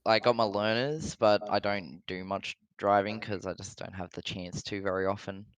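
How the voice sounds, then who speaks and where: Australian teen male caller, hesitant and shy, low smooth tone. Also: fairly even intonation, moderate pace. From New South Wales, Australia. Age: teens. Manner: shy, hesitant, lots of fillers. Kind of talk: casual call-in chat.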